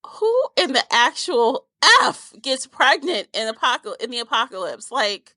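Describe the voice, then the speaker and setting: A woman's voice: fairly high pitch, very animated, a little slow, pushed and bright, dry recording. A woman podcast host chatting casually about a book in an opinionated, informal way. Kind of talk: casual book discussion chat.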